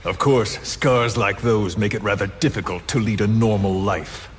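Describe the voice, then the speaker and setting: An actor playing a cruel, sadistic interrogator who taunts captives with slow, deliberate menace in a game drama. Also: male voice, on the low side, steady tone, noisy background, natural voice. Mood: cold menace. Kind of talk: video game voice acting, dramatic scene.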